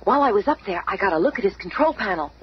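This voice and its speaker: An actress playing an earnest, anxious heroine in a radio drama, speaking with urgent concern. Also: female voice, mid-range voice, expressive, very fast, lightly acted. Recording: muffled old radio recording, faint noise.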